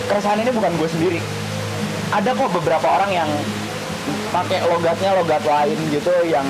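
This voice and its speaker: A young man hosting a casual chat at a table in a small room, talking quickly with his hands. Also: high-pitched, rough recording. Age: young adult. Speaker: host. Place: small indoor room with brick wall.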